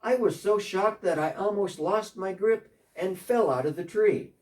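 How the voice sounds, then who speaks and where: A man's voice: high voice, measured pace, darkish tone, distant mic. A man performing a first-person dramatic monologue as a short, eager, repentant tax collector, telling his story earnestly as if on a phone call. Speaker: dramatic reader.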